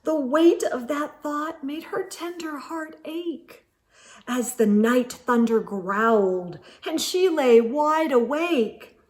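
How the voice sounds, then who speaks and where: Lady doing kids storytime, really high voice, takes it slow, animated and talks right to the little ones. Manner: animated, emphatic, addressing young listeners directly. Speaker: children's storytime host reading picture books aloud. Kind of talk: expressive read-aloud storytelling for children.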